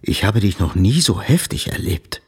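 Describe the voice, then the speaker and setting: A man in a radio drama playing a stern, proper old father who speaks formally, in a husky voice. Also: low-pitched, very animated, normal speed, exaggerated voice.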